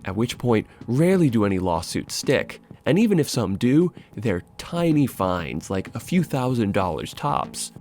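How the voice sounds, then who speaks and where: A man delivers scripted video essay narration as if chatting with the viewer. His intonation is lively and wide-ranging, with sardonic emphasis and casual asides. Soft music plays behind his mid-pitched voice. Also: unhurried, few pauses.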